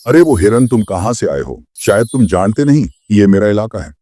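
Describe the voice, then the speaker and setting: Deep, grave old owl played by a man. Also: big pitch swings, warm tone. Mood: stern, challenging. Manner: stern, measured warning. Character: old territorial owl, grave wise guardian. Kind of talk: Hindi children's cartoon dialogue.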